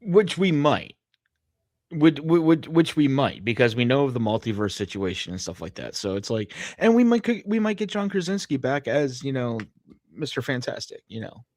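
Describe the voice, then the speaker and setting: A man's voice: medium pitch, big pitch swings, a little mellow, dry recording, clean studio sound. A man co-hosting a podcast, speculating casually like a fan, often doubling back on phrases and swearing as he goes. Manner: halting, repeats phrases, profane. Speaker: podcast co-host.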